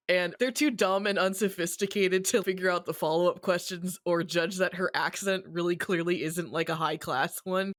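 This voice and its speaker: A podcast co-host speaks at a medium pitch in a very dry, close recording. The delivery is a casual story recap, told in colloquial phrasing with some swearing. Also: a bit bright, no echo.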